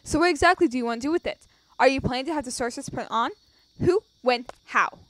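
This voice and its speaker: A woman's voice: high voice, quick pace, no echo, lightly acted. A woman acting a stern, businesslike young military instructor in a video game fan dub, firm and scolding.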